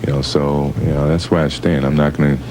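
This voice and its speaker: A man making unscripted press conference remarks in a halting, repetitive way. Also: extremely low pitch, little pitch movement. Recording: noisy archive press clip.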